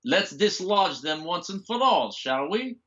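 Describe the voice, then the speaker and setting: An actor playing a menacing, mocking doctor who speaks theatrically and relishes cruel revelations in an audio drama. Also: male voice, high-pitched, big pitch swings, measured pace, a bit bright, dull audio, full character voice. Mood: taunting.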